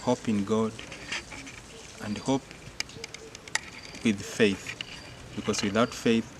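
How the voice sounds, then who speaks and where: A young man, a Jesuit novice, speaking earnestly and in measured fashion about his formation, with frequent fillers. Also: lowish voice, little pitch movement. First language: not English-speaking only; English speaker. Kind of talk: reflective interview testimony. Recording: clear insect and cricket noise behind.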